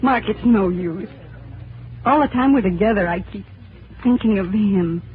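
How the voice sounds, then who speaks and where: A woman's voice: medium pitch, normal speed, slight background noise, natural voice. An actress playing a proud, plainspoken young working-class woman in a 1940s radio drama, emotional and outspoken. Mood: regretful. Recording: tinny old radio broadcast with music.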